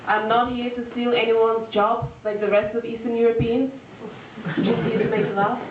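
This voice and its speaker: A woman, performing a deadpan stand-up set full of fillers and restarts, recorded live at a venue. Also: a little high, normal speed, noisy background. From Russia. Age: 20s. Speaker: stand-up comedian. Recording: echoey lo-fi room recording from far off.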